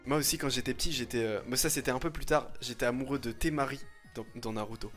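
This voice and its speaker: A man, chatting casually on a livestream in a slangy, teasing, expressive way. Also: mid-range voice, fast, soft background music. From France. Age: 20s. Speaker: livestreamer reacting to images and chat. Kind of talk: casual chat, livestream commentary.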